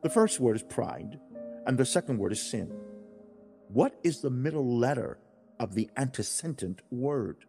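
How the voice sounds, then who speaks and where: A stern, fatherly God as acted by a man lectures in a religious audiobook. The delivery is solemn and declamatory, with wide swings of pitch and rhetorical questions, over a music bed. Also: very animated, unhurried, dark tone. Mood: firm, instructive. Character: God, male, speaking as stern fatherly teacher. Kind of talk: dramatized religious audiobook monologue.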